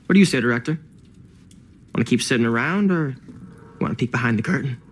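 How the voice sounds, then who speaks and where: An actor playing a slick, pushy film collector in a video game, glib and teasing. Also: male voice, medium pitch, animated, fast talker, slight background noise, natural voice. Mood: teasing, coaxing.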